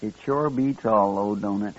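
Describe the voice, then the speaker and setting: A man's voice: on the low side, moderate pace, natural voice. A man playing a drawling, folksy western deputy. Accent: American, folksy western drawl. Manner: drawling, deferential. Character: folksy, obedient marshal's helper, mild and homespun. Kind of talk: 1950s western radio drama acting. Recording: muffled, noisy old radio recording.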